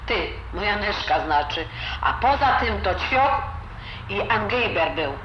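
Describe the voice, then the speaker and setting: A woman's voice: mid-range voice, expressive, measured pace, natural voice. A woman playing a blunt, disapproving old grandmother with a Silesian accent and grumbling, dialect-filled talk in an audio drama. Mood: scornful. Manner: grumbling, colloquial, full of dialect words. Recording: roomy, dull, noisy home recording.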